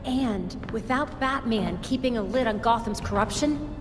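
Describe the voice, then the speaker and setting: A woman's voice: fairly high pitch, measured pace, close to an everyday voice. A woman acting a young, analytical, resolute vigilante in a dramatic video game cutscene. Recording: hall reverb with music behind. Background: music.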